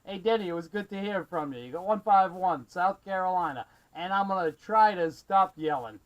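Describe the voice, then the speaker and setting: A man from Massachusetts chatting as a CB radio operator, friendly and excitable, calling out callsigns and sign-offs. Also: high voice, moderate pace, muffled recording. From Massachusetts, USA. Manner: excitable, friendly, prone to raising his voice. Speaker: CB radio operator running the channel from South Carolina.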